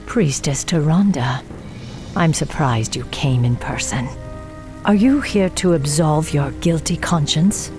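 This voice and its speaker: An actress playing a stern, bitter night elf warden commander in a fantasy game, speaking formally and with intensity. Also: female voice, sits low, slow pace, music in the background. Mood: bitter, accusing. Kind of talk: fantasy game cutscene acting.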